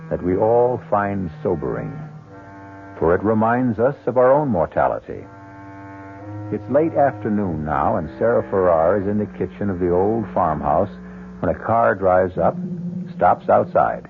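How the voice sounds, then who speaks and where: A man as the ominous, philosophical storyteller of a 1970s radio mystery, deliberate and theatrical, in muffled broadcast sound. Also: lowish voice, sing-song, creaky, exaggerated voice. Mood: ominous, grave. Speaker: host and narrator of a radio mystery series. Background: faint music.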